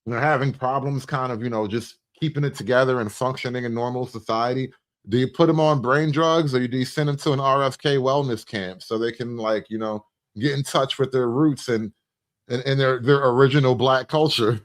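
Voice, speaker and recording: A man hosting a livestream with casual satirical commentary, speaking in an animated, profane, mock-enthusiastic way and repeating phrases for emphasis. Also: medium pitch, normal speed.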